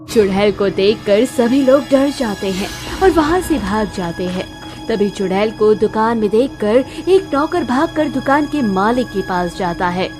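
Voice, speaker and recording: A woman's voice: on the high side, animated, unhurried, music in the background. A woman narrating a scripted cartoon story as its storyteller.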